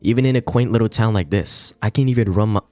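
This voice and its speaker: A man's voice: on the low side, fast, mellow, pitched above the performer's own voice, lightly acted. A man voicing a jaded thirty-year-old detective in a visual novel, grumbling with weary sarcasm. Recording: roomy echo, music behind.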